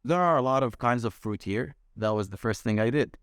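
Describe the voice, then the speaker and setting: A man's voice: mid-range voice, lively intonation, fairly quick, clean tone, no room sound, studio quality. A young man from Palestine who owns a restaurant, telling his story in an interview and often restarting mid-sentence as he hunts for words. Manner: searching for words, frequent restarts. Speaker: restaurant owner. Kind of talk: casual interview. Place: small restaurant room.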